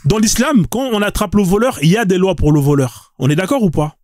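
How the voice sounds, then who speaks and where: A man's voice: sits high, lively intonation, a little fast, a little mellow. A man hosting a live debate, pressing insistently, interrupting and repeating his questions.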